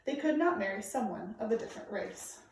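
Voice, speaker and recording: A woman reading aloud to children like a teacher, with clear, deliberate, emphatic phrasing. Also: medium pitch, expressive, a little hiss or hum. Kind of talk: read-aloud storytelling for children, informal introduction. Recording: very echoey room, distant microphone.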